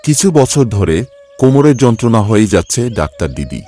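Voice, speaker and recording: A man's voice: medium pitch, very animated, a little fast, mellow, soft background music, lightly acted. A man playing an earnest, plaintive middle-aged labourer father in a cartoon story, worn down but devoted. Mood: weary, pained. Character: weary, devoted village labourer father with back pain. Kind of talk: cartoon voice acting.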